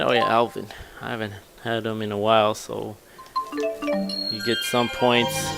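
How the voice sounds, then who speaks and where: Guy rambling through a let's play, gravelly fry, normal pitch, game music behind him. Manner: rambling, thinking aloud. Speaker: gaming video host. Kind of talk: casual let's play commentary.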